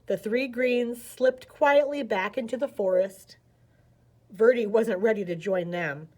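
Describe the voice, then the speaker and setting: A woman reads a storybook to children in a fairly high-pitched voice. Her delivery is expressive, with dramatic emphasis that suits a read-aloud at story time. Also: unhurried.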